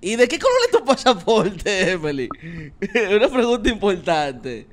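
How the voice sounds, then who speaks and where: A man's voice: sits high, huge pitch swings, a little slow, slight background noise. A man in his thirties hosting a comedic talk show interview on a handheld mic in a small room, playful and theatrical, laughing often. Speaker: show host.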